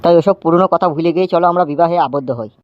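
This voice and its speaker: A man voicing an eager, wheedling suitor in an animated comedy. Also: a little high, racing, dry recording, lightly acted. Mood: coaxing. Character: wheedling, eager male suitor pushing for marriage. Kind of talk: animated Bengali comedy dialogue. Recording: dull lo-fi track, faint music.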